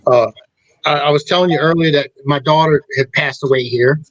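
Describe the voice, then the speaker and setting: A middle-aged man hosting a talk show, speaking in a rambling, halting way with many restarts. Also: a little high, fairly even intonation, no echo, highs cut off. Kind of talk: casual chat.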